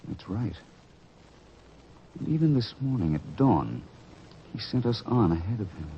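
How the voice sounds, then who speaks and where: A man playing an earnest disciple of Jesus in an old radio drama, speaking solemnly and deliberately with formal diction. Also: fairly low pitch, very animated. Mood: wondering. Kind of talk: 1940s radio drama acting. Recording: dull old radio recording, noisy.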